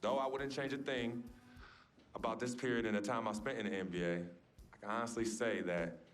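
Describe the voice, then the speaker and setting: An actor playing an earnest, grateful young basketball star giving a measured, formal speech in a video game cutscene. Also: male voice, fairly low pitch, steady tone, soft background music, lightly acted. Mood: earnest, solemn. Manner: measured, formal, deliberate public speaking.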